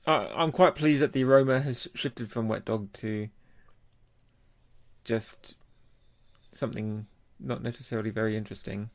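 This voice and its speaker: A man with an average-pitched voice speaks as a podcast co-host. He gives his opinions in relaxed, informal conversation, as in a casual review. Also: normal speed. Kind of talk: casual chat, beer review.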